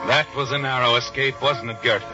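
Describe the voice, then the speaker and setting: A man's voice: medium pitch, fairly even intonation, a little fast. A man narrating a radio drama in the second person, in a confiding, insinuating tone. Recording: muffled old radio broadcast with faint music.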